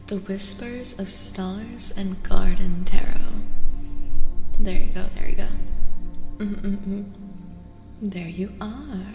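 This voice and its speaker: Soft gentle asmr streamer lady, really slow, lots of reverb, music behind her. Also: medium pitch, creaky. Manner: soft, gentle, often dropping to a whisper. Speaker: VTuber streamer doing tarot readings. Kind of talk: soft-spoken ASMR, casual live chat with viewers.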